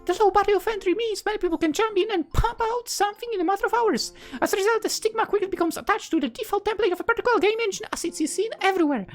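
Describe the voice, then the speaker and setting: A man voicing a chipper female visual-novel character who explains things eagerly in a squeaky falsetto. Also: racing, hardly any pauses, soft background music. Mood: earnest explaining.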